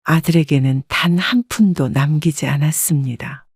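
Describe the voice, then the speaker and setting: Casting: woman narrator, calm scripted storytelling, dry close sound. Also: low-pitched, moderate pace, husky, no room sound.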